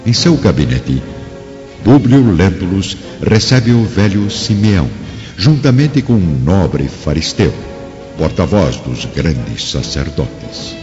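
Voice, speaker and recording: Grave bass man, Brazilian accent, dramatic radio-play narration, animated delivery, lo-fi with long reverb and music. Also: slow pace. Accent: Brazilian Portuguese.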